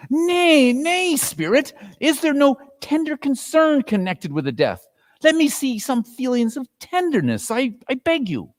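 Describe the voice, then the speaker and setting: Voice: sits high, big pitch swings, unhurried, voice pushed up. A performer voicing a humbled, fearful miserly man who implores earnestly in a dramatic reading. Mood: pleading.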